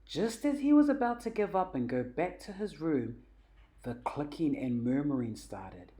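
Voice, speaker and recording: A woman reading a children's story aloud in an animated, emphatic, expressive way. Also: low voice, unhurried. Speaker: storybook reader.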